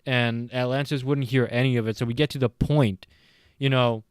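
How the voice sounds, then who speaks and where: A man with a clear, mid-pitched voice that is a little worn from talking late at night. He reviews a show in a casual, rambling way, often pausing and correcting himself. The sound is close and dry. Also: fairly even intonation, no room sound. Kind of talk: casual recap and opinion commentary.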